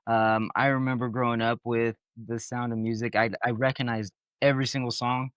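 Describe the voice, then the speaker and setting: A laid-back man co-hosts a casual movie podcast, rambling with plenty of ums and likes. His voice is smooth and clear, and the recording is dry and close. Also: medium pitch, flat delivery, brisk, a bit bright, no room sound. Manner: laid-back, many fillers like um and like. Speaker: podcast co-host. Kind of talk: casual film podcast chat.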